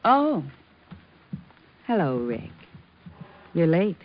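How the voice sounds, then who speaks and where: A woman's voice: fairly low pitch, very animated, very slow, noisy background, full character voice. Arch jealous american girlfriend in old radio drama, teasing, very up and down, muffled old recording. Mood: coy, teasing. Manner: arch, coy questioning. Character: poised, teasing, jealous sweetheart of a detective. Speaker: actress in supporting role. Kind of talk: 1940s-50s radio drama acting. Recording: dull vintage radio recording.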